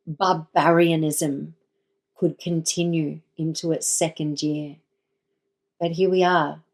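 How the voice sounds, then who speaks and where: A woman podcast host speaks in a low, unhurried voice. She reads her intro and asks questions with a measured, deliberate delivery. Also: a little mellow. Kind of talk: scripted intro and interview questions.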